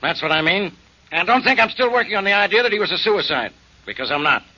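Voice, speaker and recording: An insistent police inspector in a 1940s radio drama. He questions a suspect hard, with a rather high voice that is sharp and bright. The recording is dull, like an old broadcast. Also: lively intonation, fast talker, heavily acted. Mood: accusing. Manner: insistent, accusing. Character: pushy male police inspector.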